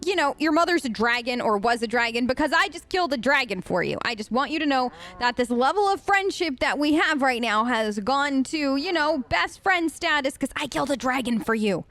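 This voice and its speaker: A woman's voice: high-pitched, expressive, fairly quick, few pauses, a bit bright, no room sound. A woman let's-player improvising casual role-play replies in a chatty, rambling, playful way.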